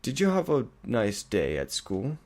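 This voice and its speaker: A man's voice: mid-range voice, wildly swooping pitch, darkish tone, pitched above the performer's own voice. A man voicing an anxious, doting father stallion in a story reading, tense and tender, tending to falter and repeat himself. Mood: forced cheer. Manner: shaky, halting, repeating himself. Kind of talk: animal fantasy story reading.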